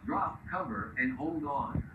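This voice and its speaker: A man's voice: fairly high pitch, animated, unhurried. A man's automated warning voice giving a clipped, repetitive earthquake alert. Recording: muffled, echoey, distant, very noisy alert audio.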